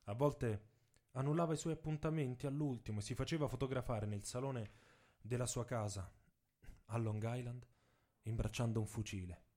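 A man reads a scripted dramatic story for radio theatre in measured, deliberate phrases with dramatic pauses. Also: lowish voice, dark tone. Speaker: author and narrator. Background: music, saxophone and drums.

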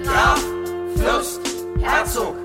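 An actress playing an official who declaims legal text in a deliberate, rhythmic chant, echoing over loud music in a radio play. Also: female voice. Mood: official, declamatory. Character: official declaimer of a law abolishing noble titles. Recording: echoey, loud music behind voice.

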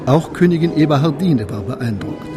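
A man's voice: on the high side, expressive, normal speed, music in the background, lightly acted. A man narrating a historical court tale in a radio drama, as a composed literary storyteller.

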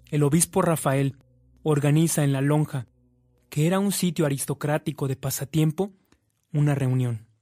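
A man's voice: on the high side, darkish tone, no echo. A man with a Mexican accent reading scripted narration for a radio drama.

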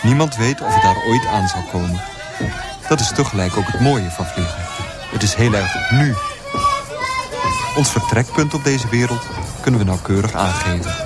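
A man's voice: lowish voice, huge pitch swings, normal speed, long pauses, loud music behind the voice, over a noisy crowd. A man playing a mock-grave narrator of an airborne farce in a scripted radio play. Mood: mock-grave narration.

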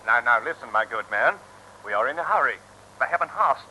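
An actor playing a pompous gentleman who stiltedly mixes English into his speech, in an old comic radio play. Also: mid-range voice, expressive, a little fast, a little hiss or hum, low-quality recording.

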